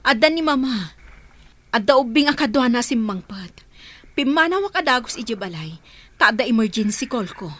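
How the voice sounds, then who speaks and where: An actress playing a concerned, brisk and kind businesswoman in an Ilocano radio drama. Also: a little high, lively intonation, a bit bright. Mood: businesslike. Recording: music under the voice.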